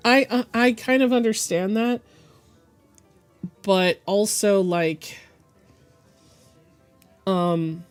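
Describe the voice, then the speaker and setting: A woman chats casually during a live art stream, her voice hoarse and gravelly from a chest illness. She talks in a halting, meandering way, stopping often to clear her throat, while soft music plays faintly behind her. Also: mid-range voice. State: hoarse and deepened from bronchitis.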